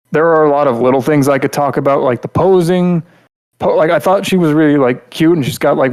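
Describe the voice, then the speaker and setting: A male podcast co-host giving casual, opinionated critique. His voice sits at an average pitch, and he talks bluntly in a rambling, filler-heavy flow, circling back to restate his points. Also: darkish tone.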